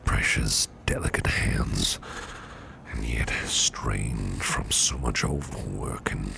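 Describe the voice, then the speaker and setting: A caring businessman boss, acted by a man for an ASMR roleplay, speaks in an extremely deep, heavily creaky murmur that often slips into whisper. He is gentle, protective and reassuring, taking his words slowly. Clear background noise sits behind the voice. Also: fairly even intonation, full character voice. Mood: soothing, tender. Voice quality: breathy near-whisper with gravelly fry. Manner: tender, slow, reassuring murmur. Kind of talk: ASMR roleplay, soothing personal attention.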